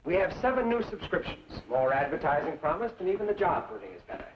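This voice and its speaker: An actor playing an amiable, anxious older frontier newspaper publisher in an old-time western radio drama, wordy and genteel. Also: male voice, fairly high pitch, expressive, quick pace, a little hiss or hum, natural voice. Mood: anxiously placating. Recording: muffled old radio recording with music.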